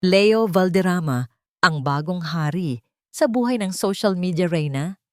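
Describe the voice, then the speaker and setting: A woman's voice: on the low side, expressive, measured pace, no room sound, studio quality. A woman narrating a dramatic romantic audio story.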